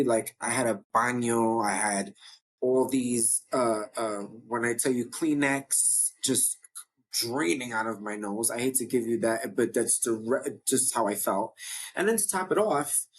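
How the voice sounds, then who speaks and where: A talkative man with a deep voice, originally from New York, chatting straight to his viewers in a loose, meandering way, doubling back on himself as he goes. His pitch sits mid-range for a man, with a faint hum of room ambience behind him. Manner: chatty, rambling, self-interrupting. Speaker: host of his own online show. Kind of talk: casual talking-to-camera update.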